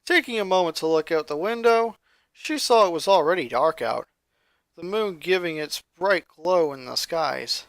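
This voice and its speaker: A man reading a story aloud as scripted narration, with dramatic, expressive delivery. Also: on the high side, moderate pace, dry recording. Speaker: narrator, fan fiction reader.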